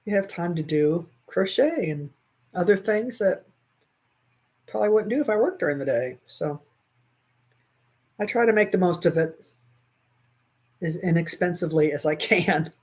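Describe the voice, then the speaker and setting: A woman's voice: fairly low pitch, expressive, moderate pace, pauses often, a little mellow, breathy edge. A talkative woman in her sixties chats easily through a webcam in a small room at home. She laughs often and answers her viewers' comments while she crochets. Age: 60s. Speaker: crochet livestream host. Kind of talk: casual chat while crocheting. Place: small bedroom at home, indoors. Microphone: webcam at desk.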